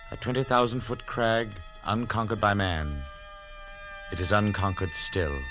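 An actor playing a troubled mining engineer and former climber in a 1940s radio drama, intense and articulate. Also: male voice, on the low side, a bit bright. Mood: grave, measured. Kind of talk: 1940s radio drama acting, first-person narration.

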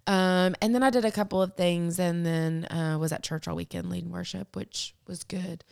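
Podcast co-host, woman in her thirties, low and gravelly, chatty storyteller. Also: moderate pace. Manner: chatty, animated storyteller, laughs often. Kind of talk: casual chat.

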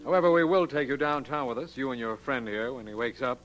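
A man's voice: medium pitch, quick pace, a bit bright, close to an everyday voice. A man playing a formal, authoritative law official in a 1940s radio drama, with clipped delivery. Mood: brisk, satisfied. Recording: noisy vintage radio recording.

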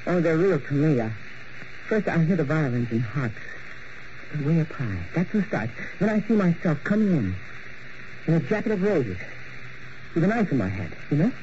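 Unhinged male ballet star, theatrical, very animated higher voice, old radio thriller. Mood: troubled, dreamy. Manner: theatrical, halting, intense. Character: unstable, dreamy, grandiose male ballet dancer. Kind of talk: 1940s radio mystery acting. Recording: muffled, hissy old radio broadcast.

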